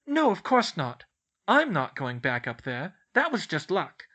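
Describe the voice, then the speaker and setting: A man voicing a clever, smug schoolgirl with a brisk, precise, explanatory way of talking in a storybook reading. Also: sits high, huge pitch swings, bright, forceful tone, voice pushed up, exaggerated voice. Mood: smug, casual. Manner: brisk, explanatory, matter-of-fact. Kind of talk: audiobook character voice.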